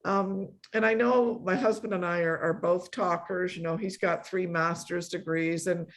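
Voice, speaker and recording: A woman's voice: on the low side, steady tone. A middle-aged woman from a Polish immigrant family, hosting an online meetup in a casual, effusive, emphatic way. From born in Poland, grew up in a Polish immigrant family. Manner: effusive, emphatic repetition, quick to laugh. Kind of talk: casual chat, thanking a guest.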